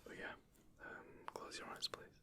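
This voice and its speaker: An ASMR performer role-playing a medical examiner, whispering gentle instructions and reassuring praise. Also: moderate pace.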